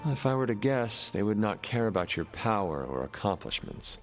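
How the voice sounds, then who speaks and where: A gravelly, formal young hero. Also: male voice, fairly low pitch, sing-song, normal speed, faint music behind. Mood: calm. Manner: formal, old-fashioned phrasing. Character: formal, wise young man, son of a demon queen. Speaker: game character. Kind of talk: video game cutscene acting.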